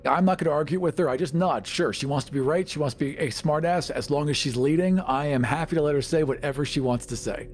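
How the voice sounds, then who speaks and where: A man's voice: a little high, fast talker, few pauses, slight background noise. A man playing a tabletop game, describing his actions and rolls in casual talk. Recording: music behind the voice. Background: music.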